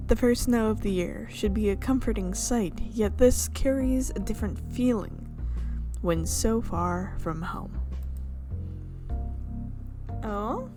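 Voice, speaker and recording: A woman's voice: fairly high pitch, slow, pauses often, music in the background. A woman doing playful let's-play commentary and reading visual novel text aloud, with bubbly little repeats and sound effects. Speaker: gaming YouTuber.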